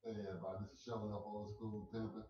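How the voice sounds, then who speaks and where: An older rapper with a deep voice, a guest on the show. He talks fairly quick, joking and laid-back. He is set back from the mic in a roomy space, with a dull lo-fi sound. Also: flat delivery, mellow, recorded from a distance, slight background noise. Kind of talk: casual guest chat.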